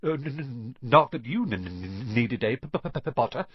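A man's voice: medium pitch, very animated, breathy edge, dry recording, highs cut off. Man voicing a heavily stammering, timid young professor. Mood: nervous, flustered. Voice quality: heavy stammer on hard consonants. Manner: nervous, halting, muttering.

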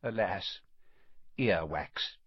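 A man's voice: low voice, expressive, breathy edge, dry recording, muffled recording, full character voice. A man voicing a genial, elderly wizard headmaster in an audiobook, whimsical and dreamily self-amused. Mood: playful, self-amused. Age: older. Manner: dreamy, unhurried musing. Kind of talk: audiobook character voice.

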